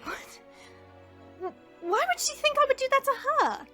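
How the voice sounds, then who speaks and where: A woman's voice: very high-pitched, big pitch swings, a little slow, pushed and bright, soft background music, full character voice. A woman playing a proud, protective dragon princess in an audio drama, with formal, stately phrasing. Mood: startled.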